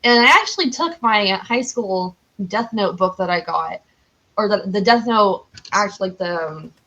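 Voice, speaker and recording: A woman's voice: mid-range voice, lively intonation, moderate pace, bright, forceful tone, muffled recording. A young adult American woman chatting casually as a podcast guest, telling stories in a giggly way. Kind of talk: casual chat.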